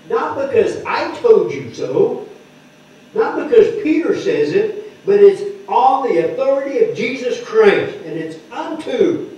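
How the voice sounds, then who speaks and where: Older male preacher, high mellow voice, teaching a sermon, dull distant echoey hall recording. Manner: explains word by word, stresses key words loudly. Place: wood-paneled church hall, speaking at a lectern. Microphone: microphone on the lectern, heard from a distant camera.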